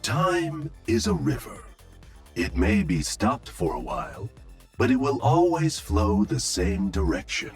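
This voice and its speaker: A man playing a serene, philosophical robot monk in a video game, meditative and deliberate. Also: medium pitch, big pitch swings, husky, faint music behind, exaggerated voice.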